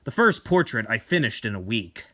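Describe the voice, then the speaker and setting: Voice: medium pitch, big pitch swings, normal speed, sharp tone, no echo, studio quality. A narrator performing a scripted first-person horror story as a dramatic reading.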